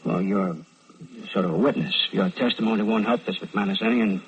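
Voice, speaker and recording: An actor playing an unhurried, probing American police lieutenant in a vintage radio crime drama. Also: male voice, lowish voice, close to an everyday voice. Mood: measured, insistent. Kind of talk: 1940s-50s radio crime drama acting. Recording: dull, faintly noisy old radio recording.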